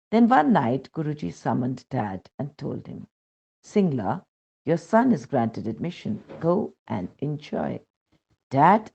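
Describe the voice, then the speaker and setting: A woman's voice: a bass voice, vocal fry, dark tone, husky, muffled recording. A woman with an Indian accent reading a first-person devotional account aloud as scripted narration. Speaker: reader of a devotional testimony.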